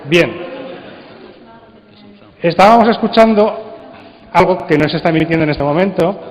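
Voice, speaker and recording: A man's voice: high voice, moderate pace. A man with a Spanish accent giving an informal conference talk, conversational and putting rhetorical questions to his listeners. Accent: Spain. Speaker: conference speaker on radio drama. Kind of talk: informal spoken presentation. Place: large conference hall. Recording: muffled, echoey hall sound.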